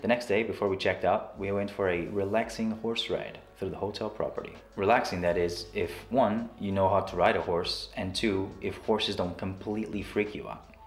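A man's voice: low-pitched, fairly even intonation, normal speed. A young man narrating his travel vlog in a scripted way, with dry, self-mocking humor. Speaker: vlogger. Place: small room at home, talking to camera. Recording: roomy echo with soft guitar music.

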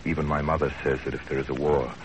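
An actor playing an earnest young husband in a 1930s radio drama, speaking gravely and deliberately. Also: male voice, a bass voice, little pitch movement, muffled recording. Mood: grave, wistful. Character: earnest young husband narrating his love story. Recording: noisy 1930s radio broadcast.

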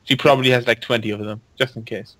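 A man's voice: medium pitch, fairly even intonation, quick pace, fairly bright tone, dry recording, poor audio. A young man making wry, casual comments with a friend during a reading. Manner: wry, laughing asides. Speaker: co-reader.

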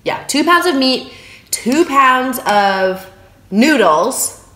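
A woman's voice: high-pitched, very slow, reverberant. A woman in her thirties from San Francisco, chatting casually in a food vlog while eating at her dining table, recorded on a phone. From San Francisco, USA. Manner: relaxed, chatty, talking between bites of food. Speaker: food vlogger. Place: small room at home, indoors at a dining table. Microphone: phone camera on the table.

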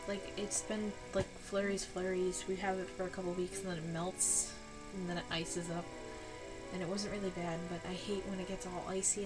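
A woman's voice: on the low side, rough recording. A woman in her twenties from Buffalo, New York, chatting in a rambling, apologetic way in a home haul vlog, sounding nasal, tired and a bit sick. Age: 20s. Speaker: vlogger. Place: dark room at home.

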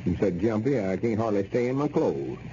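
An actor playing a fretful, self-pitying old country storekeeper, grumbling in a folksy Southern drawl for a radio comedy. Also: male voice, on the low side, very animated, brisk, mellow. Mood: anxious. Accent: rural American South. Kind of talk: 1930s radio comedy acting. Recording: muffled, noisy old radio recording.

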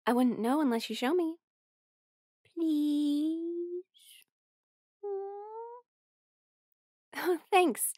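A woman playing a friendly, teasing young musician in an audio roleplay, speaking in a casual, halting, intimate way. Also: high-pitched, a little mellow, no room sound, clean studio sound. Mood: hesitant, doubtful, then teasing, warm. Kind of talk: intimate conversational roleplay acting.

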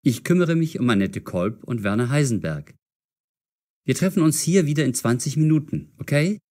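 A man playing a keen, decisive boy detective in an audio story, enunciating clearly. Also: medium pitch, expressive, moderate pace, warm tone, natural voice. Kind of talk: scripted audio story for language learners.

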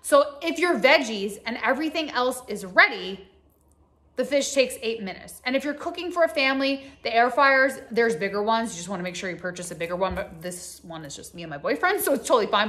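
Higher-pitched woman giving casual, emphatic advice. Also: expressive, brisk, fairly bright tone. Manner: conversational, emphatic, addresses the audience directly. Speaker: health coach and video host. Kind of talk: casual instructional talk to camera. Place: home kitchen, indoors.

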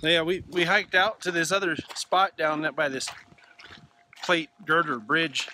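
Middle-aged guy vlogging outside on a phone cam, bright voice, chatty and excited, faint background hiss. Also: a little high, unhurried. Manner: chatty, enthusiastic, restarts words while walking. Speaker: vlog host. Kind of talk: casual vlog narration to camera. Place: outdoors in open mountain country. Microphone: handheld camera at arm's length.

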